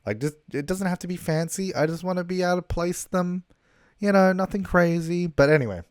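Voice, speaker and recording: A man's voice: high voice, fairly quick, no echo, studio quality. Chatty young man, slightly worn voice. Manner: chatty and rambling, with frequent fillers and laughs. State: losing his voice a little. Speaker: gaming YouTuber. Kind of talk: casual gameplay commentary. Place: hotel room. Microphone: travel recording setup.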